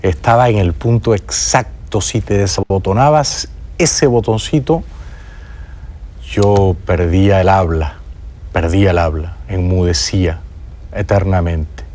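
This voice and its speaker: A man's voice: low voice, a little slow, darkish tone. A Peruvian man hosting a talk show, delivering an indignant, sarcastic monologue with teasing asides. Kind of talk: opinionated monologue.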